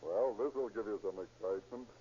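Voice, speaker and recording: An actor playing a cocky police detective with slangy talk in a 1930s radio drama. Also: male voice, lowish voice, brisk, dark tone, lightly acted. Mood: teasing. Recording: dull, noisy 1930s radio recording.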